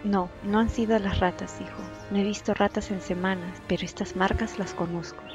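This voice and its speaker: Woman acting a quick, uneasy village mother. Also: mid-range voice, fairly even intonation, natural voice. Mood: uneasy, suspicious. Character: Costa Rican mother and village farmer, alert and uneasy. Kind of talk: radio drama acting. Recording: muffled, music and animal sounds behind.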